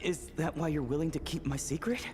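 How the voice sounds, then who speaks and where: An actor playing a desperate, secretive male scientist in a video game, speaking in an agitated, halting, dramatic way. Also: fairly high pitch, steady tone, darkish tone, faint music behind, close to an everyday voice. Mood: shocked, wary. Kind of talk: English video game voice acting.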